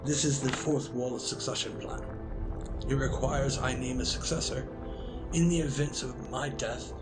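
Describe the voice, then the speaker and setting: A man's voice: medium pitch, steady tone, unhurried, over loud music, lightly acted. Man playing a stately, ancient wizard commander. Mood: formal, proud. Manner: formal, ceremonious, stately speech. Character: ancient Merlin, grave male founder and commander, weary, proud. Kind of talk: audio drama acting.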